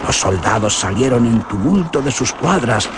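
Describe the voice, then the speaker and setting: Expressive Spanish-accented male radio narrator. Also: mid-range voice, normal speed, background noise. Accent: Spain. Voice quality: expressive, theatrical. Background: music.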